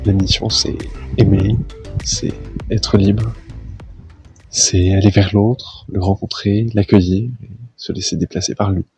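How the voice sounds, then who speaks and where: A man in his twenties calmly introducing himself and speaking about faith. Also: sits low, measured pace, soft background music. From France. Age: 20s. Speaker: team member introducing himself. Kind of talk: prepared self-introduction.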